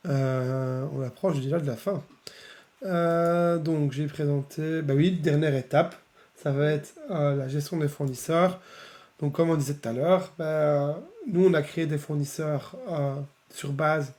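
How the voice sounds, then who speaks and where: Man with a cold, nasal and a bit hoarse, chatty live demo, slightly high voice. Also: fairly even intonation, measured pace, darkish tone. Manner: chatty, many fillers, laughs often. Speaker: software presenter. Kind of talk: casual live-stream demo.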